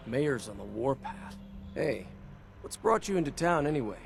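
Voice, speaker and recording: An actor playing an easygoing city security guard in a video game, friendly, informal and apologetic. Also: male voice, medium pitch, very animated, moderate pace, gravelly. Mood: casual. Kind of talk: video game dialogue acting.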